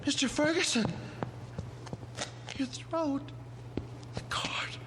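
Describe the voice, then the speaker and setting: Slow, anxious, lonely museum caretaker. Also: male voice, very high-pitched, whispered. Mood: shocked, accusing. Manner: hesitant, halting, pleading. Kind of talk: audio drama acting.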